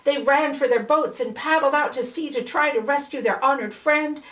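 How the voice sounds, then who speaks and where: An older woman reading a children's story aloud in an expressive, deliberate way with dramatic pauses. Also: sits high.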